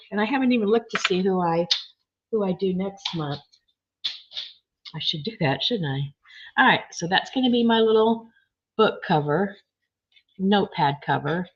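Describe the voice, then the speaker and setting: A woman with a slightly froggy, hoarse voice, chatting casually as she works on a craft project and reads viewer comments. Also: mid-range voice, a little hiss or hum. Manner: chatty, thinking aloud while working, reading comments. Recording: dull lo-fi sound.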